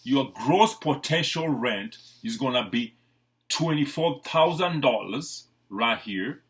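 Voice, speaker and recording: A man's voice: mid-range voice, expressive, slow, a bit bright. A man from Kenya with a Kenyan accent, a real estate agent giving an informal explanatory presentation in a repetitive, emphatic way with frequent check-in words.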